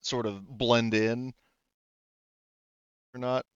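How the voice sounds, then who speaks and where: A man narrating a tabletop game casually as game master, in a rambling, halting way with frequent self-interruptions. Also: mid-range voice, flat delivery, clean tone, dry recording, lo-fi sound.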